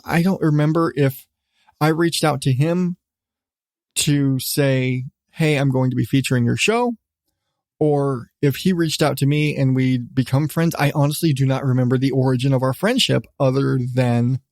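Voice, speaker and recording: A middle-aged man hosting a casual solo podcast, talking in a rambling, halting way with repeated restarts. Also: medium pitch, fairly even intonation, a little mellow, no room sound, studio quality. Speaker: podcast host.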